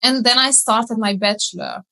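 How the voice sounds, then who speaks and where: This is a woman from Hungary with a strong Hungarian accent, probably in her thirties, speaking English as a guest on an interview show. Her voice sits slightly high and has a bright, forward edge. She talks with lively enthusiasm and laughs often. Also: normal speed, poor audio. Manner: enthusiastic, animated, laughs easily. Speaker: guest, marketing mentor. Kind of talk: casual interview chat.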